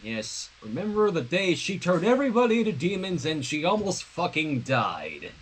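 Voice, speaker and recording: A man's voice: a little high, moderate pace, husky. A man reading fanfiction aloud on a livestream, narrating theatrically with joking asides. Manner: theatrical, animated.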